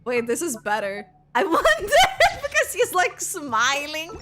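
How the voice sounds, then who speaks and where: A woman game streamer giving excitable, halting reaction commentary as she plays. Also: sits high, faint music behind. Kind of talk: live gameplay reaction commentary.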